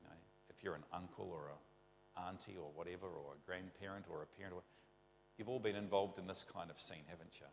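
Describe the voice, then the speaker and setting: Chatty New Zealand preacher, lowish voice. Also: male voice, fairly even intonation, brisk, creaky, roomy sound, in a large hall, a little hiss or hum. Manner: chatty, rambling, asks rhetorical questions. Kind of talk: conversational sermon. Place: church.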